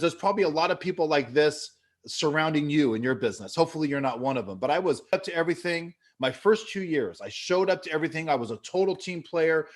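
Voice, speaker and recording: A man in his fifties from California giving an earnest motivational talk, telling stories with many fillers and restarts. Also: a little high. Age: 50s. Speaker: business leader speaking at an online event.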